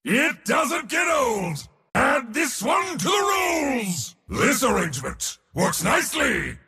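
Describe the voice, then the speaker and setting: An actor playing an arrogant, bloodthirsty war god for a video game, with a pompous, declamatory delivery. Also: male voice, extremely high pitch, huge pitch swings, takes it slowly, vocal fry, sharp tone, shouting, long reverb tail, exaggerated voice. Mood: triumphant, shouting. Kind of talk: theatrical video game character acting.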